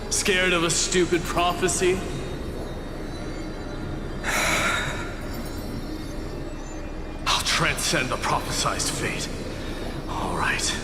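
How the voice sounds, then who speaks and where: An actor voicing a proud, fierce young demigod warrior prince in a video game, speaking in a gruff, defiant and mocking way. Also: male voice, fairly high pitch. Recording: echoey hall reverb with loud score.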